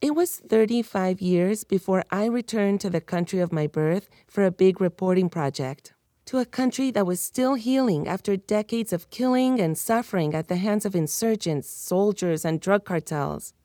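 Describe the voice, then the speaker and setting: A woman's voice: medium pitch, expressive, moderate pace, dark tone, no room sound, studio quality. Woman telling her story. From United States (Miami). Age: 30s. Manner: candid, personal storytelling. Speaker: reporter. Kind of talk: scripted podcast narration.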